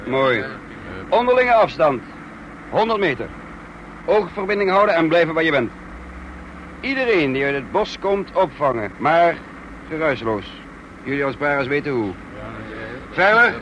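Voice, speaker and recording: A man's voice: on the high side, big pitch swings, measured pace, long pauses, a bit bright. Commanding male officer for radio drama; clipped, authoritative delivery, dull slightly noisy sound. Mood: brisk, commanding. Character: brisk commanding male military officer leading a raid.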